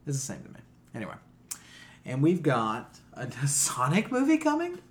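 Man, podcast host, highly animated delivery, blunt, profane, emphatic, casual opinionated chat. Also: moderate pace.

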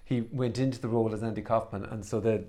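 A man's voice: on the low side, fairly even intonation, fast talker, mellow. Hesitant male interviewer. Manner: hesitant questions, many fillers. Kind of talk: casual interview conversation.

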